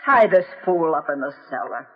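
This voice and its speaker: A woman's voice: low-pitched, big pitch swings, normal speed. An actress playing a formal, commanding mother, a crook with a put-on foreign accent, in an old radio drama. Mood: stern. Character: stern mother, crook posing as foreign lady. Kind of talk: 1940s-50s radio acting. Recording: dull old radio, music behind.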